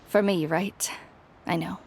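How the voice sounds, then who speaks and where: A woman's voice: fairly low pitch, slight background noise. A woman playing a proud, blunt and teasing young female fighter in an anime game.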